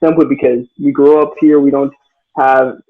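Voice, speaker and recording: A man's voice: medium pitch, flat delivery, moderate pace. A seventeen-year-old guy born and raised in the US, answering interview questions hesitantly with many fillers and restarts over a video call. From United States. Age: teens. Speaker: guest. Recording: tinny phone-like line.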